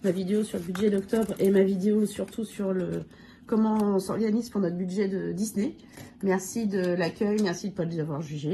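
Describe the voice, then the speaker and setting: A woman with a cold and a hoarse voice, casually going through her budget challenges and counting money aloud with lots of fillers. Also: mid-range voice, mellow, slight background noise. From France. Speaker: budget vlogger. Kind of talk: casual budget review talk.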